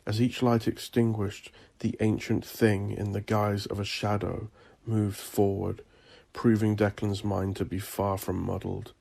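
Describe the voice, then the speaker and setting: Low, mellow, gravelly man narrating horror. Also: level tone, unhurried. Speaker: audiobook narrator. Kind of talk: scripted dark fantasy horror narration.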